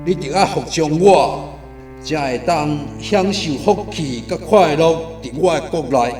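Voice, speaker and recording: An actor with a Taiwanese accent playing a domineering king of a dark realm in a radio drama, speaking in a commanding, oratorical way. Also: on the high side, a little slow, close to an everyday voice. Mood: stern. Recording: echoey studio with music behind.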